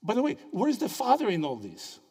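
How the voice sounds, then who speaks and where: Animated man lecturing in a hall. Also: high voice, slightly rough. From Greece. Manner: animated, quizzes the audience with quick questions. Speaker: theology speaker at a symposium. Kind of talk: interactive lecture. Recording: reverberant hall.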